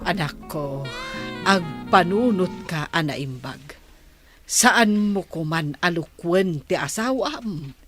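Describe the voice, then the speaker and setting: A woman's voice: fairly low pitch, lively intonation, takes it slowly, over music. A woman with an Ilocano accent playing a worried, pleading village mother of a grown son in a radio drama.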